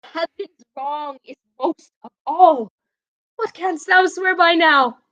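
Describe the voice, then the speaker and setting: A woman's voice: very high voice, slow pace, fairly bright tone, muffled recording, exaggerated voice. A young woman playing a bereaved, scornful queen in a Shakespearean radio play, speaking formal verse with biting sarcasm. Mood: accusing. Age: young adult. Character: bereaved mother queen, bitter, scornful and grieving. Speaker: student actor.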